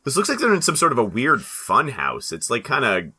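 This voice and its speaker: A man's voice: medium pitch, huge pitch swings, brisk, sharp tone, breathy edge. A man co-hosting a comedy podcast, bantering casually about what he is reading. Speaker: podcast co-host.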